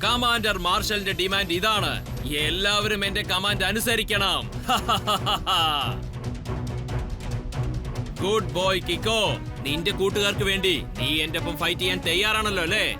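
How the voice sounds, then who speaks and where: A man's voice: sits high, a little slow, over music. A man voicing a boastful villain commander in a children's cartoon dub, gloating, taunting and giving orders theatrically. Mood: gloating, menacing. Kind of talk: dubbed children's cartoon acting.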